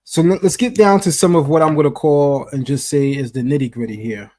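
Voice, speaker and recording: A man's voice: on the high side, a little fast, a little mellow. A man hosting a discussion, talking emphatically. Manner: emphatic, preacher-like call and response. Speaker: host. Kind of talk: casual discussion with a guest.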